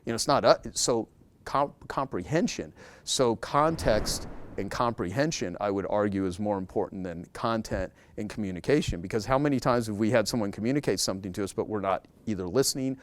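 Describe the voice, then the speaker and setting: A man's voice: on the low side, brisk. A man speaking as a guest in a casual interview, talking emphatically and repeating key words to drive a point home.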